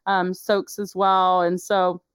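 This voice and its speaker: A woman's voice: on the low side, little pitch movement, slow, smooth, no room sound, studio quality. A woman hosting an interview from home, affirming and encouraging her guest. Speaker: podcast host. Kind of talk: casual interview chat. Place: home booth.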